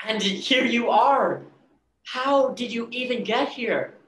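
A woman's voice: on the high side, slow pace, a bit bright. A young adult playing an awkward, smitten young man, stammering and hesitant, in a play reading. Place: indoor room, video call. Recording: roomy video call, background noise.

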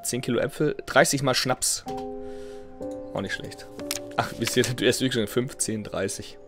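A man in his thirties with a cold and a slightly nasal voice comments casually on a game. He chats freely and reads in-game letters aloud. He speaks through a headset at home, with game music clearly audible behind him. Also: mid-range voice, moderate pace. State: has a cold. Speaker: let's play host. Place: home gaming room, indoors.

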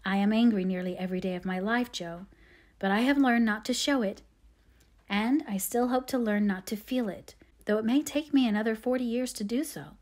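Woman playing a gentle, patient storybook mother. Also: fairly high pitch, close to an everyday voice. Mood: gentle counsel. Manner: soothing, tender, measured. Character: gentle, patient, devout middle-aged mother.

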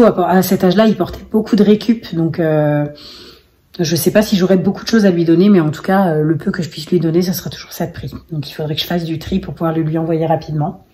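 Mellow-voiced woman vlogging at home. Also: lowish voice. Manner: rambling, many fillers, relaxed. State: slightly hoarse. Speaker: vlogger, single mother of five. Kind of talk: casual daily vlog chat. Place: home, living room and kitchen, indoors. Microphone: phone held at arm's length.

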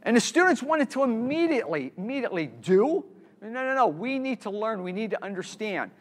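Halting high-voiced man giving a keynote. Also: big pitch swings. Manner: halting, repeats words and restarts often. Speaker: conference keynote speaker. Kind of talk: conference talk with slides. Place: conference room.

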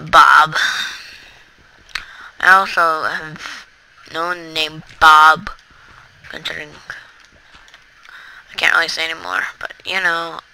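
Brief: casual gameplay commentator, chatty and rambling, slow delivery, gravelly texture. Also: medium pitch, rough recording. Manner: rambling, chatty, talks to viewers; excitable outbursts during play. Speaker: Let's Play gamer.

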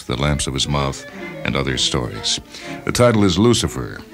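A man hosting and reading short stories as scripted literary narration. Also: very deep voice. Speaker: host and story reader. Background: music and sound cues.

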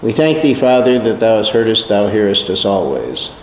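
A man leading a slow, prayerful guided meditation in a calm, measured way. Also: on the low side. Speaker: meditation leader. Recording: echoey hall, faint noise.

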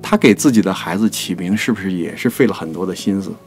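A man with a somewhat low voice co-hosts a relaxed literary discussion. He speaks casually, agreeing and prompting the conversation along, with music playing faintly underneath. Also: fast talker. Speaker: podcast producer and co-host.

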